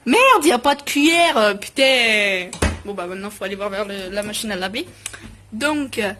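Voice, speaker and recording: Woman, fairly high voice, casual cooking vlog, slangy and scattered delivery, noisy home kitchen with clattering dishes. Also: fairly bright tone. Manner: informal, slangy, scattered, self-interrupting. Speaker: vlogger.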